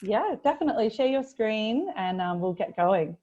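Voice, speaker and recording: A woman's voice: medium pitch, expressive, normal speed, mellow. A woman hosting a webinar in a friendly way with many fillers, speaking over a video call. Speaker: webinar host. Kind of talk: webinar presentation. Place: office in the United Kingdom. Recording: dull lo-fi video call audio.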